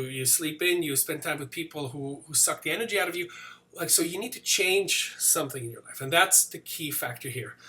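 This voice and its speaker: A man's voice: a little high, pushed and bright. A man in his forties from Switzerland with a slight Swiss accent, giving a casual motivational talk to camera, full of fillers and restarts. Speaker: business coach.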